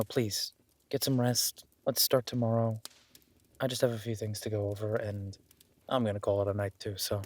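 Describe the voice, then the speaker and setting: A man playing a young werewolf duke in an intimate audio roleplay, modest and earnest, speaking informally and haltingly as if unsure of himself. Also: medium pitch, lightly acted. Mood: self-deprecating, reassuring. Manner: hesitant, self-deprecating, informal, halting.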